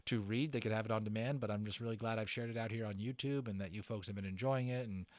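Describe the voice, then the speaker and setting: A man's voice: on the low side, steady tone, fast talker, darkish tone, no room sound. A man narrating a storybook aloud as a dramatic reader. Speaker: audiobook narrator.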